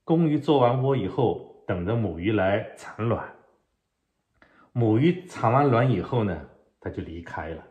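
A middle-aged man teaching a fishing technique in an unhurried way, explaining step by step with pauses, filmed at home indoors. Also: on the low side, animated, muffled recording. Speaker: fishing channel host. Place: home living room, indoors. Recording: roomy echo.

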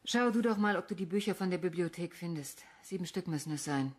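Low-voiced bereaved woman. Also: animated, fast talker, darkish tone. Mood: urgent. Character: distressed but determined bereaved woman. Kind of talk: German radio crime drama acting.

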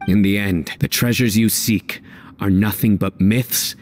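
An actor playing a stern, principled, unyielding young enforcer in a video game, speaking in terse, solemn, measured lines. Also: male voice, fairly low pitch, fairly even intonation, darkish tone, a little hiss or hum, natural voice. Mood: stern, resolute. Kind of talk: dramatic video game voice acting.

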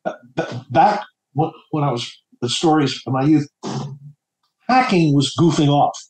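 A middle-aged man from New Jersey, chatting as a podcast guest in a halting way and often stumbling over repeated words. His voice sits a little high for a man. It is recorded in an echoey room. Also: animated, darkish tone. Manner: halting, with many stammered repeats. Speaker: author guest. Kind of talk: casual podcast chat.

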